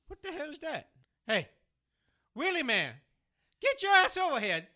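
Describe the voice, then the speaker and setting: Excitable, streetwise vagrant played by a man. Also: very high-pitched, measured pace, no room sound, studio quality, full character voice. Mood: uneasy. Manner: slangy, exclamatory. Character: streetwise, excitable, softer-hearted male vagrant. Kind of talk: audio drama acting.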